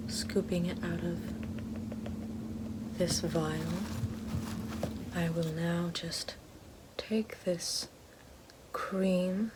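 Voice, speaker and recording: A haughty evil queen, acted by a woman whispers slowly and deliberately in an ASMR roleplay. Her breathy voice sits on the low side, with the theatrical air of a sly sorceress down on her luck. There is clear noise in the recording. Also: flat delivery. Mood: calm, ominous.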